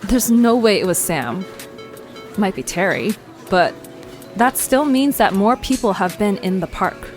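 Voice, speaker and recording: A woman playing a bold, nosy young amateur journalist in an audio drama, with a brisk, confident, playful delivery. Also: medium pitch, lively intonation, soft background music, natural voice. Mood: smug. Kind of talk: conversational audio drama acting.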